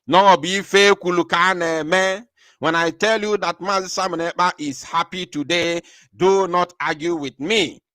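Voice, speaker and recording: A man hosting a livestream, talking with emphatic repetition and sudden swings from quiet to loud. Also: high-pitched, fairly even intonation, takes it slowly, dry recording, studio quality. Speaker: livestream host. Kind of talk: impassioned livestream talk.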